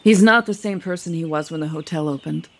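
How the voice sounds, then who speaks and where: An actress playing a sardonic, worldly older wife in an audio soap opera, wry and pointed. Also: female voice, low-pitched, brisk. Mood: reflective, tender.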